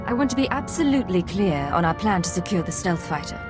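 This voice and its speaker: Cool, low-pitched Imperial agent. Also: female voice, sing-song, fast, over music. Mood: resolute. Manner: firm, businesslike. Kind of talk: video game cutscene acting.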